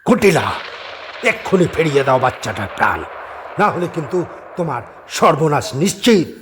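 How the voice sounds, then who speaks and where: A man's voice: on the high side, big pitch swings, moderate pace, noisy background. A man playing a stern, vengeful spirit of a holy man in a horror audio drama, speaking with solemn, commanding emphasis. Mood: angry, commanding.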